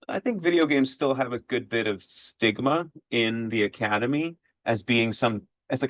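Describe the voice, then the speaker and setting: Swedish-born man, low dry voice. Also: a bit bright, no room sound. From Sweden. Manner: measured, many filler "uh"s, occasional laughs. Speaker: history professor, guest. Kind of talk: podcast interview. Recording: dull lo-fi audio, dry.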